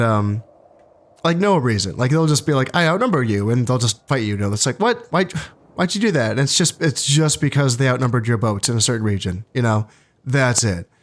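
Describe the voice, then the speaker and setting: A man hosting a strategy game playthrough, talking casually and thinking aloud, congested from allergies and a bit low on energy. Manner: relaxed, thinking aloud with fillers and restarts. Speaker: gaming channel host.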